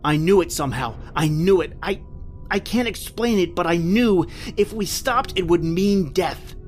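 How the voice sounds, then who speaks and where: Male actor as haunted horror-radio narrator: desperate, intense, dramatic drawn-out phrasing, music underneath. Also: high-pitched, expressive, a little mellow. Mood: haunted, anguished. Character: terrified man recounting a flight from a monster, haunted, desperate.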